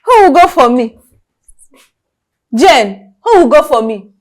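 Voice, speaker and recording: An actress playing a fierce but loving older sister who scolds and pleads emotionally. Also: female voice, high voice, very animated, takes it slowly, full character voice. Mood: arguing.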